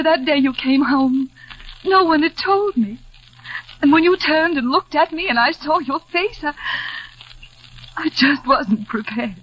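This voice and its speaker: A weak-willed young fiancée in an old radio melodrama. She is tender, hesitant and distressed, with a fairly high voice. The recording is vintage and dull. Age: young adult. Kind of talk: 1940s radio acting.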